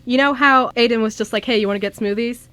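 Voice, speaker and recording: A woman's voice: on the high side, fast, a bit bright, no room sound. A woman chatting casually as a scriptwriter on an amateur radio drama show. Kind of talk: casual chat.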